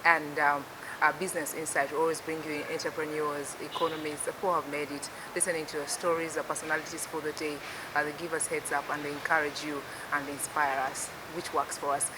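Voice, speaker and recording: A woman's voice: deep voice, brisk. A woman hosting a TV business interview show, chatty and upbeat, with many fillers. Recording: noisy recording with steady hiss.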